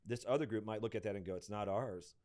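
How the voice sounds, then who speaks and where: A hoarse middle-aged man with a somewhat low voice talks through ideas in casual conversation. He halts and restarts often, feeling his way toward each point. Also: a little mellow. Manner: halting, many restarts, thinks aloud. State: losing his voice. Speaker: pastor and podcast co-host.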